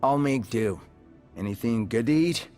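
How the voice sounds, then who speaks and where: A man plays a skeptical squad member in a tactical video game. He grumbles dryly at a middle pitch, unimpressed. Faint music is behind him. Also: animated, measured pace, warm tone, close to an everyday voice. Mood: dry, grumbling. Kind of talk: game cutscene dialogue. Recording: music under the voice.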